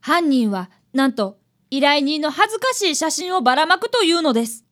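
A woman's voice: sits high, very animated, normal speed, a bit bright. A woman voicing an eager fifth-grade boy, an apprentice detective, in a radio drama. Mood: earnest narrating. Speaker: actor and narrator in a radio drama. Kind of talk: radio drama acting and narration.

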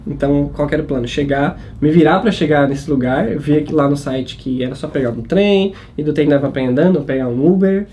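Young man, brisk and slightly high, mellow tone, self-mocking storyteller. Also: a little hiss or hum. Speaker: travel channel host and guest storyteller. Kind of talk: casual podcast chat.

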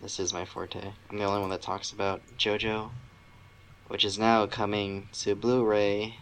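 Man, lowish pitch, podcast co-host, casual chat, slight noise behind the voice. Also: flat delivery, normal speed, fairly bright tone. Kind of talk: casual pop culture chat.

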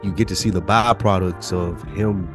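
A man's voice: low voice, fairly even intonation, moderate pace, over music. An American man co-hosting a casual TV review podcast, blunt and slangy, swearing freely. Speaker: podcast co-host.

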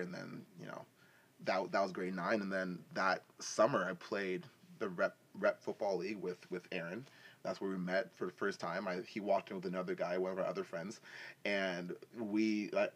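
A man's voice: deep voice, level tone, moderate pace, slightly rough. A man in his twenties from Africa and Canada, telling his story in casual, bantering, slangy conversation. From West and East Africa, then Canada. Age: 20s. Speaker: football player telling their story as a podcast guest. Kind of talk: casual chat, personal storytelling.

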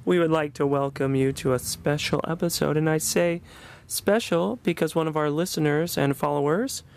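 A man's voice: fairly high pitch, moderate pace, gravelly. A man hosting a podcast in a conversational presenting style. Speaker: podcast host.

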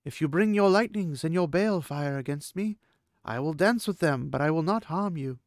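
A man's voice: fairly high pitch, expressive, moderate pace, no room sound, clean studio sound, pitched above the performer's own voice. A man voicing a proud, formal young female desert warrior with solemn, stiffly formal speech. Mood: earnest.

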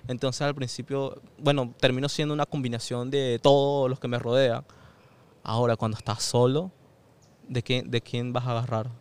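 Reflective Venezuelan man, smooth voice. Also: medium pitch, little pitch movement, normal speed, darkish tone. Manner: reflective, thinks aloud with fillers and restarts. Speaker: podcast guest. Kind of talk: casual conversation.

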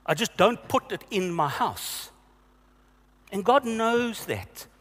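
A man preaching slowly and deliberately, his pitch rising and falling with lively emphasis. His voice sits a little high for a man. Long reverb trails each phrase, as in a large church hall. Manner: earnest, questioning, with emphatic repeats and restarts. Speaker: pastor. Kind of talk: sermon.

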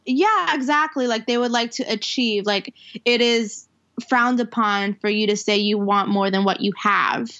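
A woman's voice: fairly high pitch, unhurried, a bit bright, dull audio. A woman co-hosting a podcast in a casual chat, rambling and leaning on fillers as she talks. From half Puerto Rican, half Dominican heritage. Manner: rambling, heavy on fillers and restarts. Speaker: podcast co-host.

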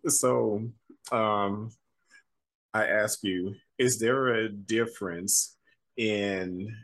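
Slow, low-voiced male interviewer. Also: fairly bright tone, poor audio. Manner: friendly, affirming, with frequent "mm-hmm" and "okay". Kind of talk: interview questions.

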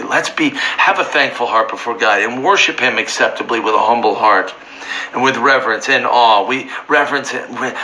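Fervent, slightly hoarse male Bible teacher. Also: medium pitch, a little hiss or hum, low-quality recording. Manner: fervent, rising to near-shouting emphasis.

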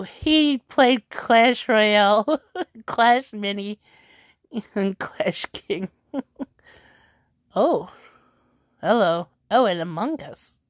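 A woman's voice: mid-range voice, dry recording, clean studio sound. A woman chatting casually to her viewers, rambling and correcting herself as she goes.

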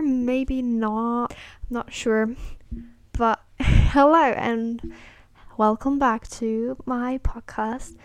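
A woman's voice: a little high, a little mellow, dry recording. An eighteen-year-old woman hosting a casual solo podcast on camera. She rambles hesitantly with many "like" fillers and apologizes self-consciously. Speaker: podcast host.

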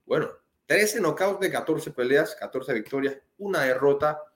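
A man's voice: on the high side, lively intonation, normal speed, fairly bright tone, reverberant. A man from Panama hosting a casual boxing chat, talking in a rambling way with frequent restarts. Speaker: boxing podcast host.

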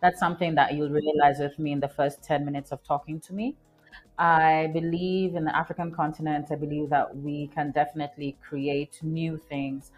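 A woman from Kenya speaks as a guest on a podcast. Her voice sits low, and she answers in a warm, rambling way, often pausing on fillers. Faint music plays softly behind her. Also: flat delivery. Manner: warm-hearted, rambling with fillers and soft laughs. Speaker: guest scientist. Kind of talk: casual interview answers.

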